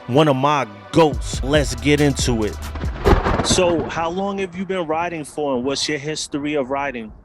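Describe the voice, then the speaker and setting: A man hosting a motorcycle talk show, opening with slangy shout-outs to viewers and then asking questions. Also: on the high side. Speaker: host. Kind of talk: show intro and interview questions.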